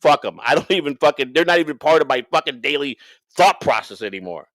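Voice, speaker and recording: Man, fairly high voice, livestream co-host, rambling, profane, repetitive, very dry sound. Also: expressive, fast talker, fairly bright tone, dry recording. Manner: profane, rambling, repeats himself for emphasis. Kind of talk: unscripted livestream rant and banter.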